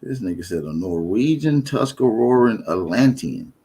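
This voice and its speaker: A man doing casual roasting banter in teasing, heavily profane slang, with clear noise on the recording. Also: lowish voice, lively intonation, normal speed. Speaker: panel participant. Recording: noisy line with clear background noise.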